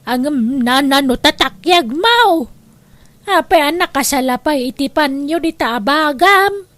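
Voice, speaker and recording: An elderly grandparent from the countryside, voiced by a woman in a radio drama. The speech is slow and drawn out, high in pitch, with a close, dry sound. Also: expressive, a bit bright, no room sound. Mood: puzzled.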